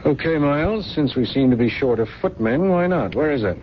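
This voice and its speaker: A man's voice: on the high side, lively intonation, brisk, natural voice. An actor playing a proud, sarcastic, grudging man of privilege in a 1970s radio drama. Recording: dull old radio recording with hiss.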